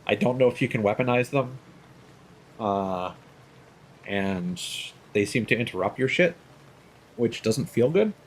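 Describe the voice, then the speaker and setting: A man's voice: medium pitch, animated, a little slow, long pauses, slight background noise. A man streaming a video game, reacting casually and swearing now and then, with many fillers and restarts. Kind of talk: live gameplay commentary.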